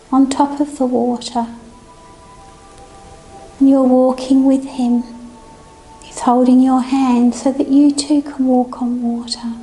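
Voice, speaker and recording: Female meditation guide, gentle and soothing, high and mellow, over music. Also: low-quality recording. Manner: gentle, soothing, unhurried instructions.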